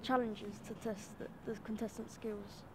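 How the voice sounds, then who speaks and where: A woman's voice: mid-range voice, level tone, unhurried, background noise. A young woman, a student interviewer, reading prepared questions briefly. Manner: brief, reads questions from a list.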